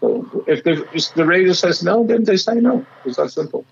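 A man with a slightly raspy voice, a musician chatting excitedly in an interview and often restarting his sentences. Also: high voice, a little fast, highs cut off. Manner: excitable, rambling with many restarts. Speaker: musician guest. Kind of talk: casual interview chat.

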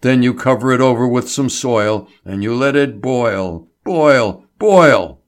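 A man voicing an excitable male doctor, a scornful crank who snorts and cries out as he lectures. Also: mid-range voice, normal speed, close to an everyday voice. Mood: excited.

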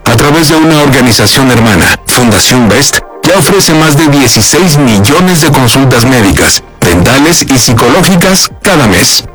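A man's voice: medium pitch, lively intonation, moderate pace, hardly any pauses, fairly bright tone, soft background music. A man narrating a scripted feature report in a polished, upbeat promotional delivery.

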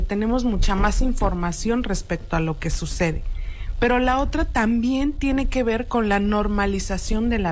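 A woman, a guest explaining her views in an earnest, steady way on a talk show. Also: mid-range voice. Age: 30s. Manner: earnest, explanatory, frequent tag questions. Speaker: guest expert. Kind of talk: radio talk show discussion.

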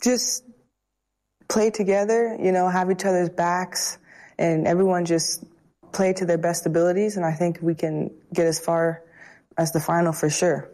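A woman's voice: fairly low pitch, flat delivery, normal speed, warm tone. A woman footballer giving upbeat, casual interview answers. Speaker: national team footballer.

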